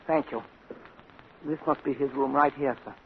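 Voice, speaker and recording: A man's voice: medium pitch, fairly even intonation, normal speed, natural voice. An actor playing an earnest, anxious male butler who speaks formally and pleads his case, in 1940s radio acting. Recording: tinny scratchy 1940s radio broadcast.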